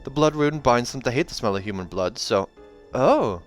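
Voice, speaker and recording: A man's voice: mid-range voice, expressive, moderate pace, faint music behind, lightly acted. A man voicing a fierce, guarded young huntress in a fantasy storybook, curt and cool, with a put-on accent. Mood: cold.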